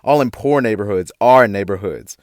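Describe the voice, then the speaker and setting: A man's voice: lowish voice, moderate pace, no echo, studio quality, close to an everyday voice. A man playing a defiant eighteen-year-old activist in a radio drama, sarcastic and argumentative. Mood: defiant, accusing.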